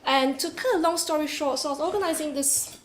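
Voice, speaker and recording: A woman's voice: sits high, quick pace. Woman with a Singaporean accent presenting. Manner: lively, with laughs and fillers. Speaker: conference speaker. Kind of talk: academic presentation. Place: seminar room. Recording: echoey room, faint noise.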